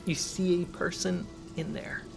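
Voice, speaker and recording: A man's voice: high voice, flat delivery, unhurried, creaky, over music. A man narrating a tabletop fantasy game as game master, setting scenes and prompting players.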